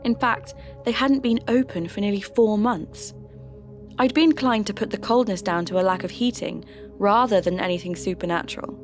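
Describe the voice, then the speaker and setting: A woman playing a radio host turned investigator, giving measured, reflective first-person narration for a scripted podcast drama. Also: mid-range voice, close to an everyday voice. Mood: sceptical, measured. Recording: music under the voice.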